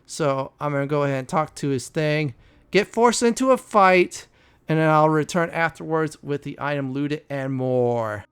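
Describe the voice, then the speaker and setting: A man hosting a casual video game walkthrough, often pausing mid-sentence before key words. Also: on the high side, fairly bright tone, clean tone. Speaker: gaming video host.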